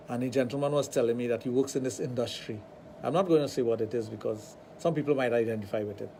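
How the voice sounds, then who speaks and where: A man with a Trinidadian accent, hosting a radio talk show and telling animated stories with acted-out dialogue. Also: medium pitch, a little hiss or hum. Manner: animated storytelling, acts out dialogue. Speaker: radio show host, sexologist.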